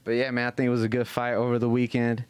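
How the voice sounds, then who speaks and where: A man's voice: mid-range voice, completely flat delivery, fast, clear, resonant tone, no room sound. A man in casual sports chat on a podcast, slangy and profane, retelling exchanges in reenacted quotes. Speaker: podcast host.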